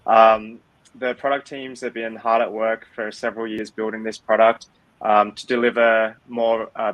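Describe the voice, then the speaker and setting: A man's voice: medium pitch, a bit bright, no room sound, rough recording. A man with an Australian accent, speaking as an invited guest in a webinar interview, friendly, with frequent ums. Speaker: guest from a tech firm.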